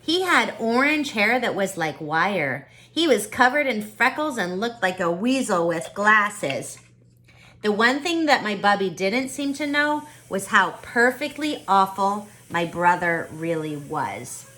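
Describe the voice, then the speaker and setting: A woman teaching on a video call, reading aloud and chatting with children in an expressive, encouraging way. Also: mid-range voice, unhurried. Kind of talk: online storybook read-aloud with casual chat.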